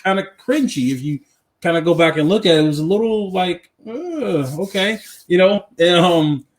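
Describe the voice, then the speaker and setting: A man co-hosting a podcast in casual movie chat, animated and emphatic, often repeating himself for effect. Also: high voice, moderate pace. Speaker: podcast co-host.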